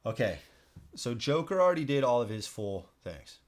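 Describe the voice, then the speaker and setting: Casual man thinking out loud while gaming. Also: medium pitch, very animated, moderate pace. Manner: informal, thinking out loud, repeats himself. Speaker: streamer hosting a solo board game playthrough. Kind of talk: casual live stream commentary while playing.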